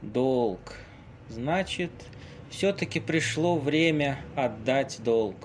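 An actor in an amateur audio play playing Casanova as a worldly, theatrical Venetian seducer who tells things with weighty pauses. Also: male voice, medium pitch, expressive, a little hiss or hum. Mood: worldly, dramatic storytelling. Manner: dramatic storytelling with weighty pauses.

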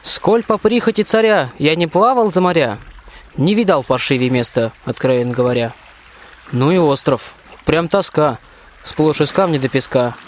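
A gloomy castaway soldier, played by a man, grumbles in declaimed rhyme. His voice sits fairly high, with faint water noise behind it in a radio fairy tale. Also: expressive, normal speed, natural voice. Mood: glum grumbling. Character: gloomy, hungry stranded soldier of folk tale. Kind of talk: rhymed radio play, amateur school production.

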